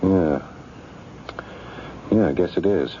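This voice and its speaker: Worried suspicious husband in a 70s radio mystery, deep voice, earnest, bit of tape noise. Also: male voice, warm tone. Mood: reluctant, subdued. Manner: earnest, halting when pressed. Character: uneasy, skeptical married man caught in intrigue. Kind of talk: 1970s radio drama acting, first-person narration. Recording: dull, faintly noisy old radio recording.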